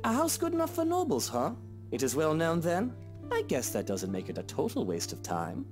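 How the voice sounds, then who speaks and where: An actor playing an irritable, pompous rich husband with a British accent in a visual novel game. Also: male voice, fairly high pitch, huge pitch swings, normal speed, soft background music. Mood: grudging. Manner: curt, pompous, exasperated.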